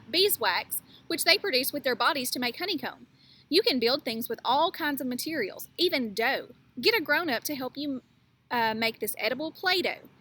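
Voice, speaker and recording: High, bright-voiced woman reading to children. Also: a little hiss or hum. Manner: explains asides to children, asks them questions. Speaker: elementary school teacher reading aloud. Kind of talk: picture-book read-aloud for young children.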